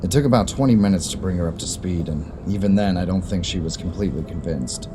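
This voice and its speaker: A young man narrating and acting a first-person audio drama, moving between calm storytelling and panic. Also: low-pitched, fast talker, noisy background. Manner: expressive, swinging from calm narration to panicked outbursts.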